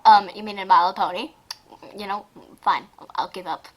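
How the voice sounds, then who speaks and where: A woman's voice: medium pitch, steady tone, a little fast, poor audio. A woman casually reading fan fiction aloud and reacting to it, with a giggly, exclamatory manner and frequent asides. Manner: giggly, exclamatory, often breaking off mid-sentence to comment.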